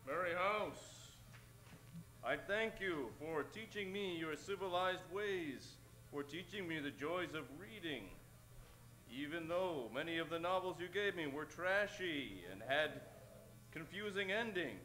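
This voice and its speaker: Comic radio role , male actor: earnest dying Native man, formal and solemn, raised pitch, bright tone. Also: expressive, unhurried, soft background music, rough recording. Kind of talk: comic old-time radio acting.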